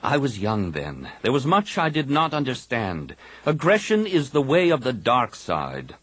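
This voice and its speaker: Patient Jedi elder, voiced by a man, soft and even, dry close recording. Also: mid-range voice, dry recording, pitched above the performer's own voice, heavily acted. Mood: mild. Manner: mild, measured. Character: calm older male Jedi master, patient.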